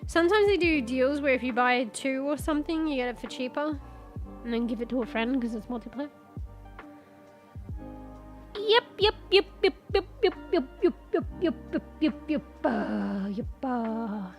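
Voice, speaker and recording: A woman's voice: high-pitched, animated, normal speed, faint music behind. A congested woman, rambling in casual live-stream chat as a streamer, swearing and reading search results aloud. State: congested, losing her voice.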